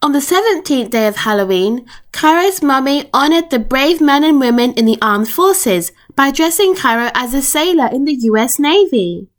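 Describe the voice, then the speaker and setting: A woman reading a children's picture book aloud in an expressive storytelling manner. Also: high voice, moderate pace, hardly any pauses. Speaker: storytime reader.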